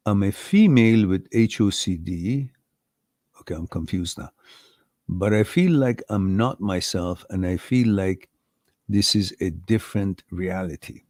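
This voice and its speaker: A man's voice: deep voice, expressive, a little slow, a little mellow, dry recording, clean studio sound. A man hosting a live question-and-answer stream, reading out viewer messages and explaining his ideas step by step. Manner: thoughtful, repeats and builds ideas step by step, chuckles at mix-ups. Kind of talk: live Q&A talk.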